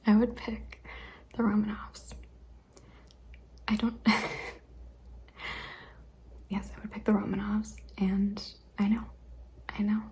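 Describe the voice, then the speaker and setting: A young adult woman hosting an ASMR questionnaire video, speaking mostly in a hesitant whisper with frequent ums and soft laughs. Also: medium pitch, a little hiss or hum. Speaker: ASMR channel host.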